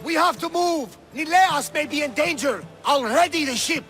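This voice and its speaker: Very high-voiced uncle. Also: male voice, animated, moderate pace, a bit bright, faint music behind. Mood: urgent. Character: commanding older male relative, tough but caring. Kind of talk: dramatic video game acting.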